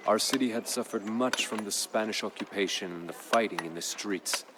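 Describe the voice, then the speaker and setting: A male actor plays a proud Aztec emperor with a deep, low-set voice. He recounts his people's war like a formal chronicle, somber and measured, every phrase grave. Music plays behind his video game narration. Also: heavy background noise, close to an everyday voice. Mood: somber, concerned. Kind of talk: solemn scripted narration in character.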